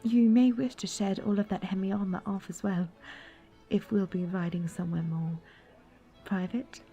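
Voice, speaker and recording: A woman playing a gentle, troubled young princess in a fantasy romance audio roleplay, speaking softly and intimately in courtly, old-fashioned phrasing. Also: medium pitch, steady tone, moderate pace, husky. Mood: tender. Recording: background music.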